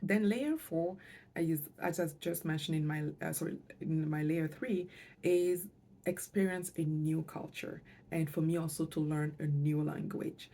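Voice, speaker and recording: Low, creaky-voiced woman. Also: measured pace, mellow. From Canada, moved there with parents, lived in Montreal. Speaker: financial coach and vlogger. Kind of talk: personal vlog talk to camera.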